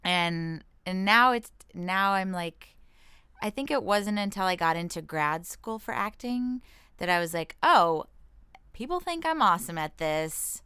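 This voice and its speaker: A woman's voice: on the low side, lively intonation, unhurried, bright, forceful tone, clean tone, dry recording. An American woman chatting casually as an interview guest, informal and self-deprecating, thinking aloud as she goes. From Washington state, United States. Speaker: comedian and actress, interview guest. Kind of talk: casual chat.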